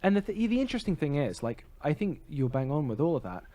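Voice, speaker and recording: Mellow, opinionated male podcast co-host. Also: fairly high pitch, sing-song, fast. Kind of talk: casual discussion and commentary on theatre.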